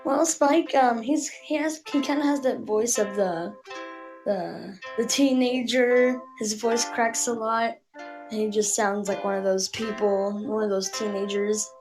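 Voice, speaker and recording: A woman speaking casually and haltingly, as if presenting show-and-tell, searching for words. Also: on the high side, fairly even intonation, rough recording. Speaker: puppeteer guest on the show. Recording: music behind the voice.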